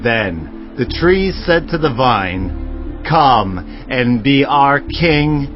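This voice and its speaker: An actor playing an indignant young brother addressing a crowd in a solemn, declamatory way in a dramatized Bible audio production. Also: male voice, medium pitch, expressive, extremely slow. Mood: measured storytelling. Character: Jotham, indignant youngest son reproaching a crowd with a parable. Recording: dull lo-fi sound, music behind.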